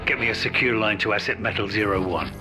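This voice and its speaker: A man's voice: lowish voice, fast, over loud music, lightly acted. A man playing a grim, determined commando with a British accent in a video game briefing.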